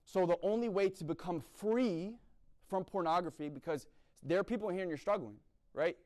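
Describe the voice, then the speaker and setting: Young man from the East Coast, blunt preacher, slightly high voice, peppers his talk with "right?". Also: moderate pace, a little mellow. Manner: blunt, repeats "right?" often. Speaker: speaker at a university chapel event. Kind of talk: sermon-style talk.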